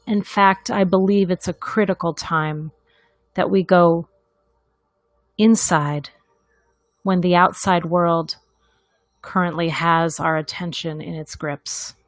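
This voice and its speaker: A woman guides a meditation in a gentle, deliberate way. Her pace is very slow, with long pauses, and her voice sits a little low. The sound is dry and close, with little room around it. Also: no echo.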